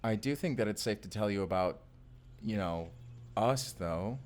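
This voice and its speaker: A man's voice: lowish voice, animated, normal speed, darkish tone, lightly acted. A man playing a tender, boyish husband from the future in an intimate, bashful, rambling romantic audio roleplay. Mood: playful, affectionate. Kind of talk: romantic audio roleplay acting, addressed to listener.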